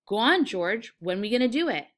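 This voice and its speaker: A woman's voice: medium pitch, animated, a little fast, lightly acted. A big, simple-minded man, played by a woman reading a storybook aloud. He is childlike and eager, with a pleading manner and folksy, ungrammatical country speech. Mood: excited.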